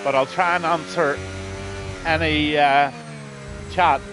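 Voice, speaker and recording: A man's voice: a little high, fairly even intonation, slow, fairly bright tone, soft background music. A man, losing his voice, commentating a live online race in a rambling, blunt way with lots of ums. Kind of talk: live sim racing commentary.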